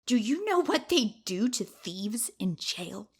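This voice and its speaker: A woman's voice: fairly high pitch, very animated, slow pace, husky, full character voice. A woman playing a brash, self-important young amateur video detective in an audio drama, bragging and accusing theatrically. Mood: accusing, menacing.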